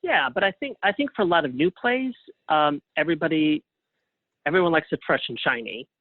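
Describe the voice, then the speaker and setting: A man giving conversational interview answers as a guest, speaking haltingly and thinking aloud as he goes. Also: on the high side, lively intonation, no room sound. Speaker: guest playwright and librettist. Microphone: phone or call line. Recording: thin phone-line sound.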